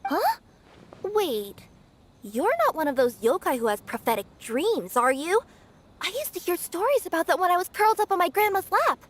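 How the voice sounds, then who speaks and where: A woman's voice: extremely high pitch, sing-song, normal speed. A woman voicing a plucky, easily flustered young female yokai courier in an anime game, chatty and exclamatory. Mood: thoughtful, curious.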